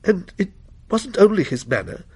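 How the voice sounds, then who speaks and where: An earnest, anxious teenage girl from a storybook, acted out by a male narrator, kind-hearted and raised in pitch, with lively intonation. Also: normal speed, breathy edge, heavily acted. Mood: uneasy.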